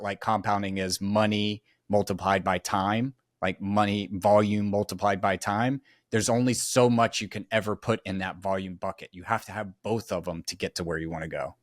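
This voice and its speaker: A man's voice: fairly low pitch, dry recording, studio quality. A man guesting on a podcast as a real estate investor, easygoing, agreeing often and telling anecdotes. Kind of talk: casual interview chat.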